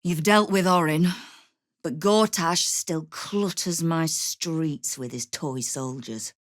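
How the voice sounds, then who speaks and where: A woman's voice: low voice, takes it slowly, no echo. An actress playing a tough, sardonic woman who runs a city thieves' guild in a fantasy video game, with a dry, commanding manner. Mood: wry. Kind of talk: video game character acting.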